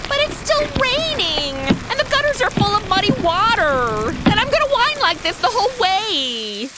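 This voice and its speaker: A woman's voice: extremely high pitch, sing-song, moderate pace. A woman playing a brash, sarcastic, whiny modern American sidekick in a comedy audio drama, full of slang. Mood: whiny, mocking. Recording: noisy, rain-like hiss behind.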